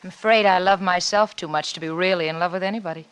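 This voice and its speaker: Actress as bright-voiced American noir seductress. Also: female voice, fairly low pitch, fairly even intonation, fast talker, smooth, no room sound, natural voice. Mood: coy, calculating. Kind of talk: 1940s radio noir drama acting.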